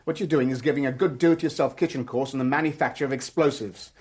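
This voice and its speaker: An actor playing a blunt, domineering factory owner in a radio drama, speaking in a clipped, indignant way. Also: male voice, mid-range voice, fairly even intonation, fast, a little mellow, natural voice. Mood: angry, accusing. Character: angry, blunt male factory owner confronting intruders.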